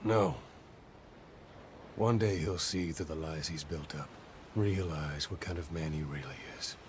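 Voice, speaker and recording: An actor playing a wry, knowing veteran soldier and mentor in a video game. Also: male voice, extremely low pitch, a little slow, background noise. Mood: quietly knowing. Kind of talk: dramatic video game voice acting.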